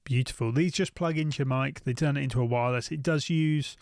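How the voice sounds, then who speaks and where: A British man talks through gear in a casual, unscripted way. His mid-pitched voice has plenty of vocal fry, and the sound is close and dry. He thinks aloud and tends to repeat short phrases. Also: quick pace, no room sound. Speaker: YouTube gear reviewer. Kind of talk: casual unscripted shopping commentary.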